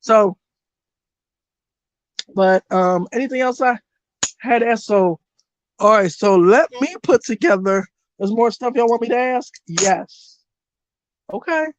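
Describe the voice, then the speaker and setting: A woman running a casual livestream as a spiritual reader, blunt and profane, with a voice that is going hoarse. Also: on the high side, slow pace, long pauses, muffled recording. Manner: blunt, profane and animated, talks to her chat. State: losing her voice.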